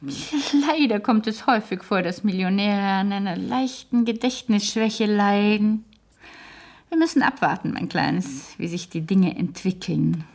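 Creaky, worldly old aunt. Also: female voice, medium pitch, a little mellow. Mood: dry, knowing. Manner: measured, dry, patronizing. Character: worldly, dryly witty elderly great-aunt. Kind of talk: German radio drama acting.

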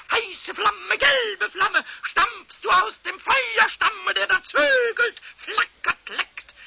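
A tiny, mischievous kobold with an extremely high, piercingly bright, creaky voice. He babbles and stutters to himself, repeating words excitably, in a children's radio play on slightly hissy tape. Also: full character voice. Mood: gleeful, chanting. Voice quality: squeaky, shrill, creaky. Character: cheeky little male kobold, excitable and mischievous.

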